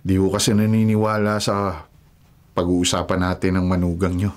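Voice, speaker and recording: A man's voice: low-pitched, completely flat delivery, normal speed, natural voice. A man playing an uneasy, respectful family friend, earnest and a little hesitant, in a Filipino radio drama. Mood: polite, serious.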